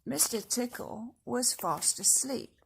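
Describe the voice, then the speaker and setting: A woman reading a children's storybook aloud in an expressive way, speaking directly to young listeners. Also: fairly high pitch, takes it slowly, gravelly, noisy background. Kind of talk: storybook read-aloud with casual asides.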